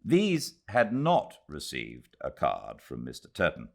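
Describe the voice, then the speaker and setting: Deep, slow British male storyteller. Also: vocal fry. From Britain. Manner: measured, expressive delivery. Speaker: audiobook narrator. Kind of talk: scripted literary narration, storytelling.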